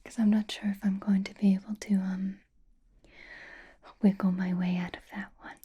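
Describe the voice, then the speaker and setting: A woman playing a possessive, teasing female vampire in a whispered ASMR roleplay, breathy, coy, intimate and commanding. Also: mid-range voice, level tone, moderate pace, muffled recording. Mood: anxious, scolding.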